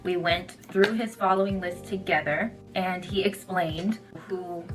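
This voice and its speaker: A woman's voice: lowish voice, steady tone, a little slow, soft background music, rough recording. A young woman giving a casual opinion monologue as a social media creator. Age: young adult.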